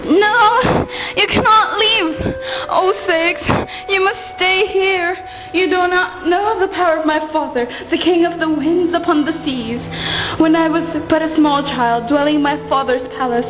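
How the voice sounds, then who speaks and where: A woman's voice: sits high, lo-fi sound. A woman playing a devoted, anguished young queen in a radio play, with imploring, melodramatic delivery and formal old-fashioned diction. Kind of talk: amateur radio play acting of Greek myth. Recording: reverberant hall sound under music.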